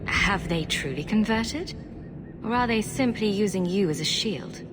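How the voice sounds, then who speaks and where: A woman voicing a kind, diplomatic female adventurer in a video game, speaking earnestly and even-handedly. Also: medium pitch, a little slow, noisy background, lightly acted. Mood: earnest, calm. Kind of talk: video game cutscene acting. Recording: music under the voice.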